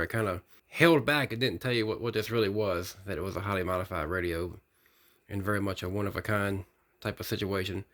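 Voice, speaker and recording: A man giving an unscripted hands-on product demonstration, rambling technically and thinking out loud as he hosts a hobby video. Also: fairly low pitch. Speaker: radio hobbyist video host.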